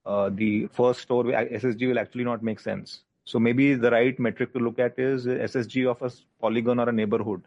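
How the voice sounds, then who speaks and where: A man with an Indian accent, a company executive answering analyst questions in a measured, explanatory way on a conference call. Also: medium pitch, level tone, darkish tone, muffled recording. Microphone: conference call line.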